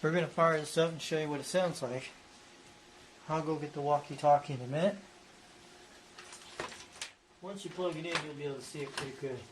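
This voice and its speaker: Male hobbyist presenter, slightly high voice, casual rambling repetitive show-and-tell delivery, faint background noise. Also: fairly even intonation, a little fast, long pauses, poor audio.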